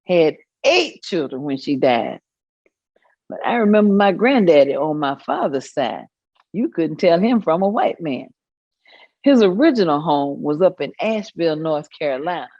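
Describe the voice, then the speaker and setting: A woman playing an elderly grandmother in a staged reading, telling her memories in a folksy, expressive way. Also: sits low, no echo, lo-fi sound, full character voice. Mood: quiet, reflective. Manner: folksy, expressive, unhurried storytelling with exclamations. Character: elderly Southern grandmother reminiscing fondly and animatedly. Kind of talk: staged reading of oral history.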